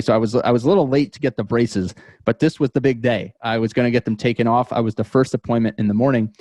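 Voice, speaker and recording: Podcast guest: American male, thirties, lowish voice, close dry sound, thoughtful halting storyteller. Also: a little mellow, no echo. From New York metropolitan area, United States. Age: 30s. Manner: halting, thoughtful, repeats words as he goes. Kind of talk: reflective interview storytelling.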